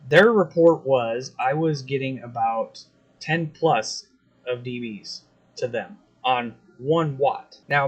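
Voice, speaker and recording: An American man hosts a hobby video in a casual, explanatory way. His voice sits at an average pitch and he talks straight to his viewers. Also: highs cut off. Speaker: video host, CB radio hobbyist.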